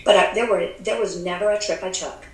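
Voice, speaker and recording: A woman's voice: mid-range voice, steady tone, a little fast. An American woman working as a travel advisor, chatting casually on a podcast, laughing often and restarting her sentences. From United States. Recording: echoey room with faint background noise.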